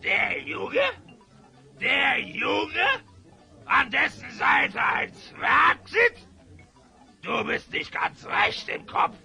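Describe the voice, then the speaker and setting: An actor playing a boastful, hot-tempered tavern bully, bellowing with a gravelly, strained voice in a fantasy audio drama. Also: male voice, very high-pitched, sharp tone, full character voice. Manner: bragging, bellowing.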